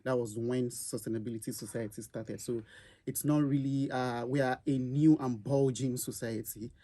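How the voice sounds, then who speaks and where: Man, mellow and hesitant. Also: mid-range voice, fairly even intonation. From Nigeria. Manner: hesitant, many filler pauses. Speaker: student society secretary on campus radio. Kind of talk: casual chat show.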